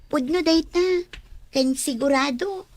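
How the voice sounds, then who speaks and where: A woman's voice: sits high, a little slow, dry recording. An actress playing a mother in a radio drama who misses her child. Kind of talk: Ilocano radio drama acting.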